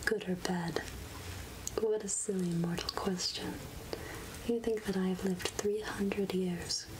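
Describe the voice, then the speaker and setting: A woman voicing a friendly, centuries-old witch of the woods in a soft-spoken ASMR roleplay, gentle and musing in a breathy whisper. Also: mid-range voice, fairly even intonation, background noise, close to an everyday voice. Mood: wry, musing. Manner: slow, gentle, musing, archly addressing a mortal. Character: three-hundred-year-old witch of the woods, friendly, wryly curious.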